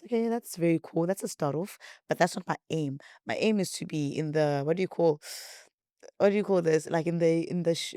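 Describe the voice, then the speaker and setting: A young woman with a South African accent and a deep voice, hosting her podcast in a rambling, repetitive, chatty way. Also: dark tone, no room sound, clean studio sound. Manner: rambling, repetitive, chatty, says she talks a lot. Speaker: podcast host. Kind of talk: casual chat to viewers.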